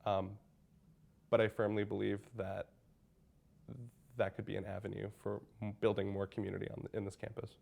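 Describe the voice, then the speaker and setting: A man's voice: on the low side, monotone, normal speed, vocal fry, long pauses, a little mellow. A young man, a college senior, giving earnest interview answers with frequent restarts and fillers. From United States. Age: young adult.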